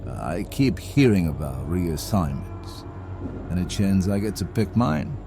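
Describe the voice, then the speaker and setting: A deep-voiced, jaded corporate worker played by a man in a horror audio drama. He is weary and sardonic, and his intonation moves up and down a great deal. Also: sing-song, a little slow, creaky, over music, exaggerated voice. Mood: wry, resigned. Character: cynical, resigned male corporate retrieval worker.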